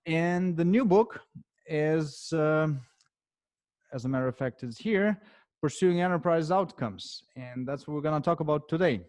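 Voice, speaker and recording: A man in his forties from Ukraine with a Ukrainian accent, answering interview questions in a halting way with many fillers and restarts. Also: on the high side, darkish tone, no echo. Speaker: guest author and consultant.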